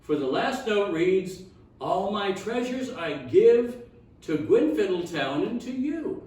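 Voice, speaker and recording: A man's voice: sits high, very animated, takes it slowly, a little mellow, heavily acted. A man voicing a confident, pompous famous detective in a children's storybook reading. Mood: confident, announcing. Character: famous male detective, confident and grandly declarative. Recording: echoey room, distant mic.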